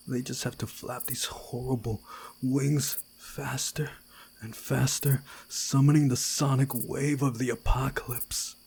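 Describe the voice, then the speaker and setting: A man voicing an anxious, frightened young visual novel hero in a halting, breathy, dramatic way. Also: mid-range voice, a little mellow, voice pushed up, heavily acted.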